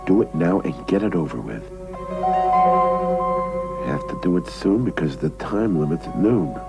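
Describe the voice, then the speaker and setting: An actor playing an anxious middle-aged American gambler, muttering to himself in an agitated, scheming way in a television drama. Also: male voice, low-pitched, sing-song, normal speed. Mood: nervous scheming. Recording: loud music behind voice.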